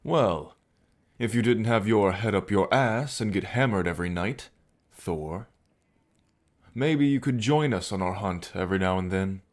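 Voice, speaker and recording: A man playing a composed, needling Norse hunter god in a fantasy audio roleplay, measured and sardonic. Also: lowish voice, natural voice.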